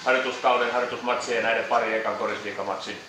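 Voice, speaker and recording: A man's voice: lowish voice, steady tone. A man moderating a postgame press conference, asking questions in Finnish and English. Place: echoey press room. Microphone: distant room mic. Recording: echoey room audio from far off with noise.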